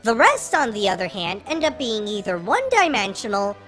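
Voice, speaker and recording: An artificially pitch-shifted voice of a film reviewer giving opinionated scripted commentary with exasperated emphasis on key words. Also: sing-song, fairly quick, fairly bright tone, soft background music.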